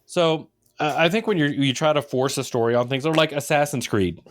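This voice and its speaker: A man's voice: fairly high pitch, expressive, brisk. Casual man co-hosting a podcast. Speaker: podcast co-host.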